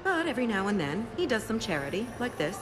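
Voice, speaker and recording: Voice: medium pitch, sing-song, fast talker, natural voice. An actor playing a slick, chatty lawyer in a video game, breezy and candid. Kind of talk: video game cutscene dialogue. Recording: clear music behind voice.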